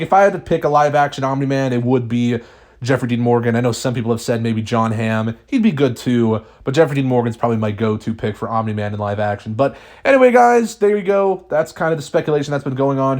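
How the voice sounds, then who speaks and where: A young man hosting a casual video, talking off the cuff with fillers and restarts, his pitch jumping up when he starts a new point. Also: fast talker, darkish tone. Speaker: YouTube host. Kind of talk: casual speculation vlog.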